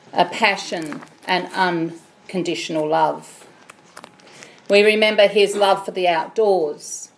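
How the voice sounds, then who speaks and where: An Australian woman with a loud voice, leading a funeral service as celebrant in a measured, solemn way. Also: on the low side, a little hiss or hum. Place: funeral chapel.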